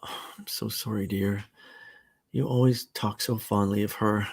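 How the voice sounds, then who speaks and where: Guy doing a soft gentle mom voice, kind, slow. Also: medium pitch, animated, voice pushed up.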